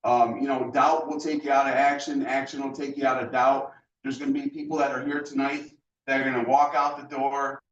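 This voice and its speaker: A man's voice: mid-range voice, level tone, a little fast. A man with an easygoing, reassuring delivery addresses a room of guests. The sound is muffled and distant, with room echo and faint noise behind him. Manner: laid back, reassuring. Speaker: sales rep addressing guests. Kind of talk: relaxed sales pitch. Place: meeting room with an audience. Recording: dull, echoey room, far from mic.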